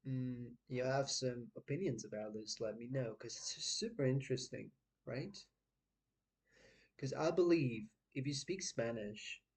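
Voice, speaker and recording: A husky-voiced man talks at a middle pitch, musing to his viewers. He thinks aloud and wanders from idea to idea in a relaxed, reflective way. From Poland. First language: Polish. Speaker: vlogger. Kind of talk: casual musing to camera.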